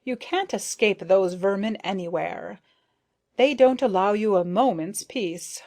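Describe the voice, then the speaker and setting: A woman voicing a good-natured but excitable male rose beetle with an indulgent, proud manner. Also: mid-range voice, measured pace, close to an everyday voice.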